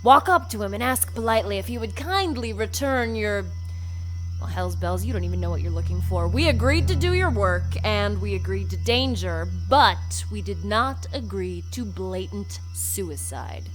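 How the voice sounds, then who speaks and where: A woman's voice: medium pitch, expressive, moderate pace, over music, close to an everyday voice. A woman playing a pugnacious dean who leads a gang of thugs in an audio drama, speaking with sarcastic, emphatic mock politeness. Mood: indignant, sarcastic.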